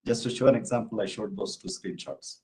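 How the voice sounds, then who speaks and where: A man's voice: on the low side, brisk, darkish tone. A man from India answering questions after a lecture, explaining each step with many "you know" and "uh" asides. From Bangalore, India. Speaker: invited speaker, genomics professor. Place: office hall with an audience.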